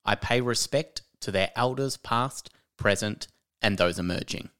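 Australian man, lowish pitch, podcast host, casual interview talk. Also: a little slow, a bit bright, smooth.

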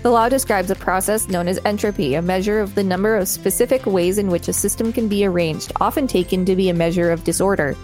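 A woman's voice: mid-range voice, quick pace, few pauses, darkish tone. Woman, mid-range voice, podcast co-host, reference article read aloud, casual remarks, music in background. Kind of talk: reading an encyclopedia article aloud with casual commentary.

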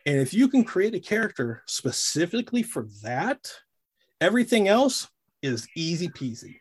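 A middle-aged man, a voice actor, talking casually in an interview with a slightly husky voice and lively delivery. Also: high voice, normal speed. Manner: animated, demonstrates character voices mid-sentence.

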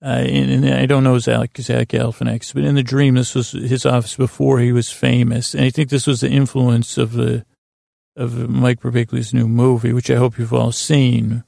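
An American man with a very creaky, gravelly voice and a mellow, dark tone. He hosts a podcast as a rambling storyteller, halting and circling back on himself with self-deprecating asides. The sound is dry and close. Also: mid-range voice, flat delivery, dry recording. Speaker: podcast host. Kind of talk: rambling, meandering bedtime storytelling monologue.

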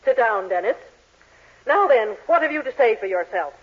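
A woman's voice: a little high, lively intonation, normal speed, slight background noise, lightly acted. Actress as a bossy radio-sitcom mother, tinny old recording. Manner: commanding, cutting. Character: bossy, disapproving middle-aged mother of the bride. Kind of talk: 1940s radio sitcom acting. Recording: old 1940s radio, tinny narrow band.

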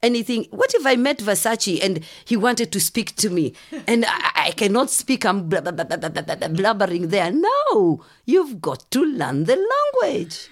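A middle-aged woman from Kenya, telling lively stories as a podcast guest and acting out the voices of people she quotes. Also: mid-range voice, normal speed, few pauses. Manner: animated storyteller, acts out quoted voices. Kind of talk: casual storytelling interview.

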